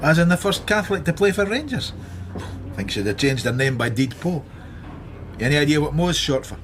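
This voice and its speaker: An actor playing a wry, world-weary middle-aged Scottish police detective in a radio drama, with a dry, sardonic manner. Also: male voice, a little high, big pitch swings, fairly quick. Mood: teasing. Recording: noticeable background noise.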